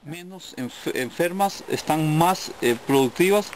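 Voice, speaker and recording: A man answering an interview hesitantly, pausing and searching for words. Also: on the high side, lively intonation, a little hiss or hum. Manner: hesitant, with pauses and fillers. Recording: loud music behind.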